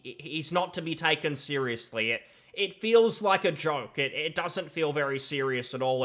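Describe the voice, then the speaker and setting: A man's voice: fairly high pitch, sharp tone. A man in his thirties giving critical, sarcastic reaction commentary on a game, talking into a headset at home. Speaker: gaming commentator. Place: home room.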